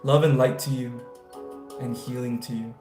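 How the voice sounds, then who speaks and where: A man's voice: mid-range voice, flat delivery, normal speed, a little mellow. Upbeat spiritual streamer man, roomy sound. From United States. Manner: enthusiastic, repeats "man" and "guys", affirmation-style blessings. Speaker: spiritual livestream host. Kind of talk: casual livestream chat, reading viewer comments. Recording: roomy echo, faint guitar music. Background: faint guitar music.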